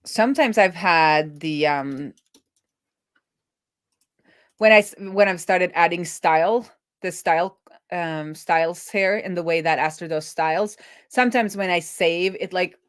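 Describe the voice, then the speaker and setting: A woman with a low-pitched voice talks through her work on a casual coding stream. She speaks informally, often to herself, and the sound is close and dry with very little room. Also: measured pace, no room sound. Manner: informal, self-talking. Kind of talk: casual live coding walkthrough, thinking aloud.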